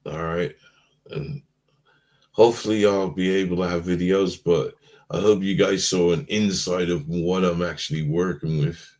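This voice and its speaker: A man co-hosting a casual live-stream chat, drowsy from being stoned, rambling and trailing off in a mellow way. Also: low-pitched, fairly even intonation, moderate pace. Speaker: podcast co-host.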